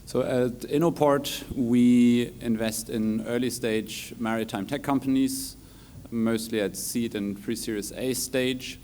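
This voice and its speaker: A man's voice: mid-range voice, fairly even intonation, unhurried, a little mellow, a little hiss or hum. A man introducing himself as an investment director on a panel, plain and businesslike.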